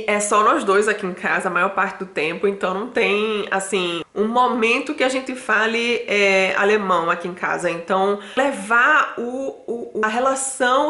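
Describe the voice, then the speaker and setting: A woman's voice: medium pitch, fairly bright tone. Chatty lady in her 30s from brazil, vlogging at home, roomy echo, lots of um and so. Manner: chatty, many fillers and restarts. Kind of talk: casual talk to camera. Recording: echoey room.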